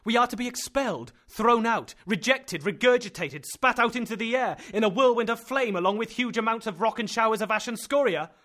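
A man voicing a terrified young man who shouts frantically. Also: mid-range voice, animated, fast talker, hardly any pauses. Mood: frantic. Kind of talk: audiobook character reading.

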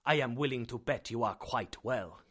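A man voicing an affectionate older professor uncle in a storybook, with emphatic, deliberate, drawn-out phrasing. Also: mid-range voice, fairly bright tone, breathy edge. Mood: cheerful.

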